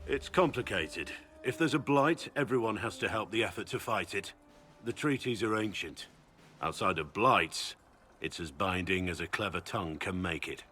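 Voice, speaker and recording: A seasoned warrior and recruiter from a fantasy game. His voice sits on the low side, blunt and earnest, with plain-spoken gruffness. Music plays lightly behind him. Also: moderate pace, sharp tone. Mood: guarded, matter-of-fact. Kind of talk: dramatic fantasy game dialogue. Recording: background music under the voice.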